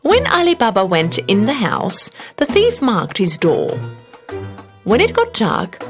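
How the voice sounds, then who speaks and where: A woman reading a scripted children's fairy tale as an expressive storybook narrator. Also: medium pitch.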